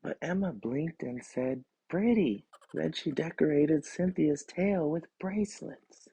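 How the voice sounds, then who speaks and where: A man narrates a children's storybook expressively, taking time over each line. The voice is mellow and gravelly, recorded close and dry. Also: on the high side, a little slow, dry recording, poor audio.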